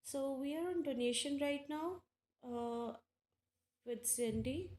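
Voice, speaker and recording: High, slow-speaking woman with an Indian accent. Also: darkish tone. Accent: Indian English. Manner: unhurried, many fillers, talks to chat viewers by name. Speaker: tarot reader hosting a live stream. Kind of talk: casual live-stream chat with viewers. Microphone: earphones with mic. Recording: echoey room.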